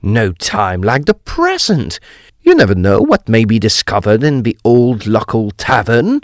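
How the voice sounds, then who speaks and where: A man playing a decisive, inquisitive gentleman detective of the occult in a period Gothic audio drama, with grand theatrical delivery. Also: lowish voice, sing-song, dry recording, studio quality. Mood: brisk, intrigued. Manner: grand, deliberate, theatrical exclamations.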